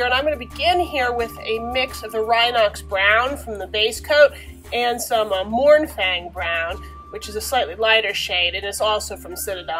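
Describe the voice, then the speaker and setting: A young woman, slightly hoarse from a cold, chatting casually through a painting tutorial in a small bedroom, recorded on the camera mic with many fillers. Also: mid-range voice, a bit bright, poor audio.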